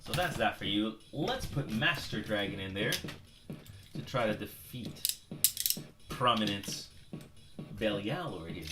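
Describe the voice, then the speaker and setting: A man's voice: fairly low pitch, big pitch swings, takes it slowly, long pauses. A middle-aged man from El Salvador, hosting a casual livestream Q&A. He rambles in a chatty way and often restarts his words. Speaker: YouTube channel host. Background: music playing.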